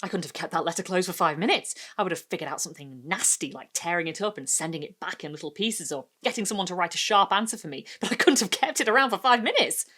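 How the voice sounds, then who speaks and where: A woman's voice: lowish voice, expressive, fast, hardly any pauses, sharp tone. A woman voicing a seething, frantic housewife thinking to herself in a dramatic short story reading. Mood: brooding. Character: tense, seething housewife with frantic inner thoughts.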